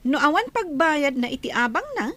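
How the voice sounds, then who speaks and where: A woman with an Ilocano accent acting a lively, defiant, lovestruck teenage schoolgirl in a radio comedy drama. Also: high voice, normal speed, dry recording, heavily acted. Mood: disdainful.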